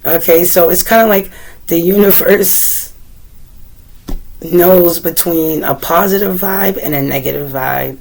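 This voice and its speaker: A woman tarot reader with a deep, slightly rough voice, still getting over a cold, talking gently and meandering as she speaks to the viewer and reads aloud from a guidebook. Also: a little slow, poor audio. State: recovering from a cold. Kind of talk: casual tarot reading, partly read aloud from a guidebook.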